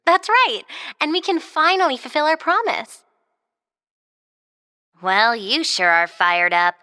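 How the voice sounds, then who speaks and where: A perky young woman of a game cutscene, with a fairly high, lively voice that rises and falls freely. Her chatty lines ring with long reverb. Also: slow, full character voice. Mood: upbeat. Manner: chatty, upbeat. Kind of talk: English video game dub acting.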